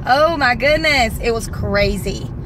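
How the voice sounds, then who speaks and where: A young woman with a Southern American accent talks in a fairly high voice. She chats casually, in the manner of a home vlogger filming herself on a phone. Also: expressive, measured pace, noisy background. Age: young adult. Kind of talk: casual chatty vlog. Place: small home rooms and inside a car. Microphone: phone at arm's length.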